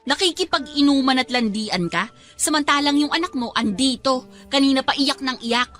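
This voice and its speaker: A woman's voice: sits high, normal speed, a bit bright, faint music behind. An actress playing a responsible, exasperated young woman in a radio drama, reproachful and emphatic.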